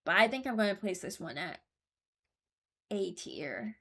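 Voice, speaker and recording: A woman's voice: mid-range voice, very animated, a little slow, a bit bright. A woman hosting a casual reaction video, speaking informally and thinking aloud as she gives her opinions. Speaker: video host ranking game voice lines.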